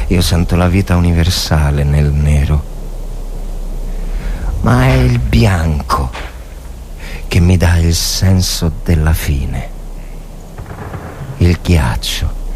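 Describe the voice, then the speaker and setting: An actor playing a bitter, anguished Italian officer of the First World War in a dramatic monologue, halting and repeating words. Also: male voice, extremely low pitch, background noise. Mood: bleak, brooding. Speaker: actor reading a short story. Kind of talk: dramatic literary monologue, radio reading.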